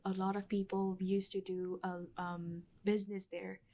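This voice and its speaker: A young woman, speaking at a medium pitch. She answers as an interview guest, pausing often on ums and starting her sentences over. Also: little pitch movement, mellow. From Bangladesh. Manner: hesitant, many ums and restarts. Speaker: guest, university student. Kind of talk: casual interview conversation.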